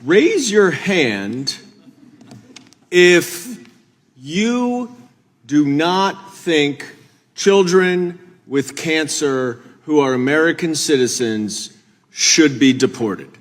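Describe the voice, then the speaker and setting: A man speaking publicly with deliberate, halting phrasing. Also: a little high, animated. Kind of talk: public speech. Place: large echoing hall. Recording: reverberant hall.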